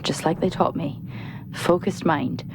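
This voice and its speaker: A woman acting a wary, determined explorer in a video game, measured and understated, often murmuring. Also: sits low, vocal fry, music in the background, poor audio. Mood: awed, self-steadying.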